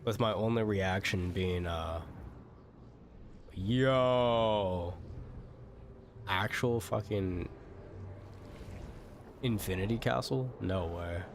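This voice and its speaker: Gravelly-voiced man streaming a game. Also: lowish voice, very slow, pauses often, slight background noise. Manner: slangy, swearing. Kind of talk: casual gameplay commentary.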